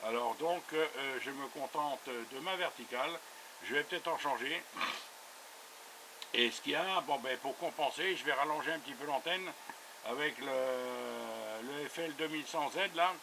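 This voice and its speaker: A man's voice: mid-range voice, normal speed. A man talking casually as a radio operator over a two-way radio, chatty and full of little verbal tags. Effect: two-way radio transmission. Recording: noisy buzzing radio transmission.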